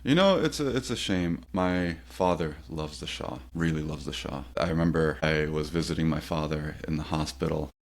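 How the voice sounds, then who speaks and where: A man from an Egyptian family hosting a podcast, telling stories in a relaxed, conversational way and saying "you know" often. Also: very deep voice, normal speed, hardly any pauses. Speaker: podcast host.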